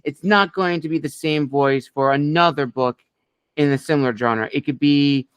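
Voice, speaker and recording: A man hosting an interview with a narrator, affirming and measured as he asks questions. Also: fairly high pitch, smooth, no room sound. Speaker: podcast host.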